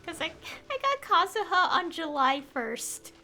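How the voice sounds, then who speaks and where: A young woman doing casual livestream game commentary, excitable and rambling, often correcting herself. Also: extremely high pitch, unhurried, slight background noise. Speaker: game streamer. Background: faint game music.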